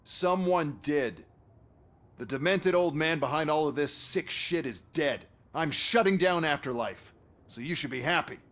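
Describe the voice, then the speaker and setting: An actor playing a smug, flamboyant corporate executive in a game cutscene, sarcastic, showy and condescending. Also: male voice, a little high, lively intonation, a little slow, a bit bright. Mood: smug, mocking. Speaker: executive and product presenter. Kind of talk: theatrical video game cutscene acting.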